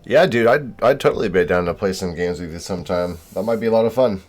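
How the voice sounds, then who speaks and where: Young man, low voice, casual game streamer, laid-back, friendly slang, soft music behind. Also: quick pace. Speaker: game livestreamer. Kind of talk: casual chat while playing.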